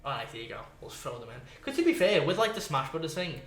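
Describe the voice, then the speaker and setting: A man chatting casually as a podcast co-host, rambling in an animated, sweary way and leaning on "like". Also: on the high side, quick pace. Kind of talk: casual chat about films and games. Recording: roomy, echoey sound.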